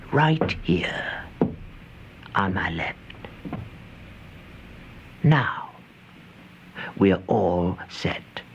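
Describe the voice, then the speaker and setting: An eerie host gathering listeners for a horror tale. His lowish voice moves very slowly, coaxing and hesitant, rising and sinking in lively swoops. It has the dull sound of an old radio drama. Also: full character voice. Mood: sly, solemn. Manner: hesitant, coaxing, hushed asides. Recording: dull vintage radio recording, music behind.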